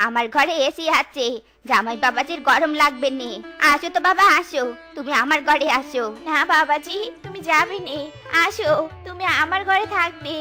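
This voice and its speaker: A woman voicing a dramatic, shrill cartoon animal mother-in-law in exaggerated family dialogue. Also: normal speed, bright, forceful tone, soft background music. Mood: jealous, quarrelsome.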